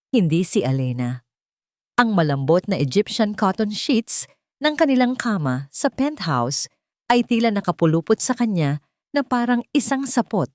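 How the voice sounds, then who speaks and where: A woman reading scripted dramatic fiction as narrator, in deliberate short phrases. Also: medium pitch, darkish tone, no echo, clean studio sound. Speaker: story narrator.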